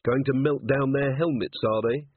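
Guarded, terse storybook watchwoman, acted by a man, slightly husky, dry humour, radio-like band. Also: medium pitch, fairly even intonation, normal speed, no echo, natural voice. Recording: tinny band-limited radio-like sound.

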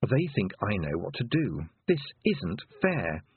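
A man's voice: fairly low pitch, sing-song, a little slow, slightly rough, no room sound, heavily acted. A man voicing an earnest, unsure young girl who would be a witch, with a plaintive manner, in a storybook. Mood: worried. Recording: narrow phone-like lo-fi recording.

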